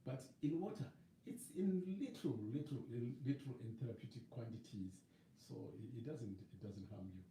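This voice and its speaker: A middle-aged Zimbabwean-accented evangelist talks on his live stream in a dark, mellow voice, slightly hoarse. He wanders along with fillers and restarts. The sound is roomy and distant, picked up by a webcam, with a faint hiss behind him. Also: medium pitch, normal speed. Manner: rambling, hesitant, many fillers and restarts. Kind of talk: casual live-stream chat. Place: living room with a leather sofa and shelves.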